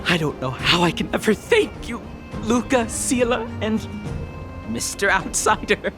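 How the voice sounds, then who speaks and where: A woman playing an earnest, excitable, grateful young character in an anime-style video game, with a heartfelt, eager manner. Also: medium pitch, measured pace, lightly acted. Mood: grateful, warm. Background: music.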